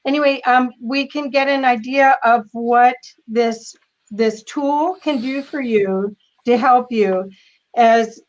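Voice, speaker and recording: A woman hosts over a dull, lo-fi video call, her voice a touch higher than most. She speaks slowly and haltingly, full of enthusiasm. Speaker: host. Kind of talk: casual video call chat.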